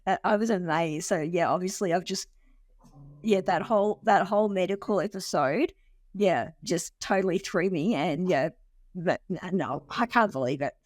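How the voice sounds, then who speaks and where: A woman's voice: on the low side, moderate pace, no room sound. Chatty woman in her forties, dry sound. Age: 40s. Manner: animated storyteller who laughs often and restarts sentences. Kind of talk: casual conversational interview.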